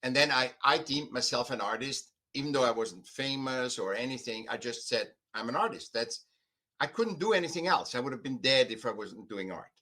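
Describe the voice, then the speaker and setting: An older man from Austria with an Austrian accent, telling his own story conversationally as a podcast guest in a halting, emphatic way. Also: medium pitch, lively intonation, bright, forceful tone. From Vienna, Austria. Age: 50s or older. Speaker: podcast guest, artist and author.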